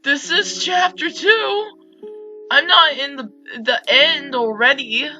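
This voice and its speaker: A woman playing a visual novel and commenting casually, with slangy, expressive reactions. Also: high voice, slow pace, soft background music. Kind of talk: casual let's play commentary.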